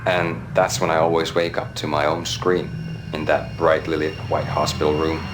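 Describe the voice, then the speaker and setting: An actor voicing a weary cop narrating in a flat, deadpan, hard-boiled noir delivery. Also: male voice, rumbling low, normal speed. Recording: loud game music and noise behind.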